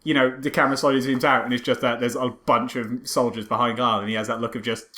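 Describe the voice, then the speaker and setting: A man co-hosting a casual film commentary podcast, speaking haltingly and repeating words as he searches for phrasing. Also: medium pitch, little pitch movement, pushed and bright. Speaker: podcast co-host.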